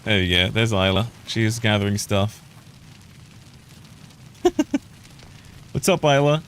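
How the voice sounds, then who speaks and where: A man's voice: fairly low pitch, animated, takes it slowly, a bit bright, a little hiss or hum. A British man streaming a game, rambling opinionated commentary that keeps trailing off and restarting. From United Kingdom. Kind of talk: casual gameplay commentary.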